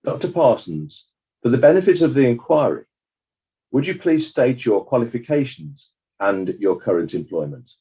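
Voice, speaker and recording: Inquest examiner played by a man, animated pitch, raspy edge, formal, authoritative, probing. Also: normal speed. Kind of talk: dramatized courtroom questioning.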